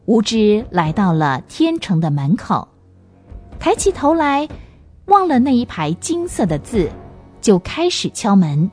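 A woman narrates a scripted radio drama in storytelling style. Her pitch is middling but moves in a very animated way, and faint music plays behind her. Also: measured pace, slightly rough.

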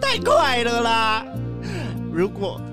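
A woman's voice: fairly high pitch, huge pitch swings, takes it slowly, music in the background, exaggerated voice. A woman voicing a melodramatic, heartbroken male painter in a children's radio drama, wailing self-pityingly. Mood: despairing, tipsy.

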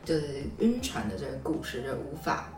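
A woman podcast host with a low voice and a Taiwanese Mandarin accent talks casually and ramblingly. She sounds a little away from the mic in an echoey room, with music behind her. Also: expressive, normal speed, gravelly. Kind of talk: casual conversational recap and commentary. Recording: roomy echo, slightly distant mic.

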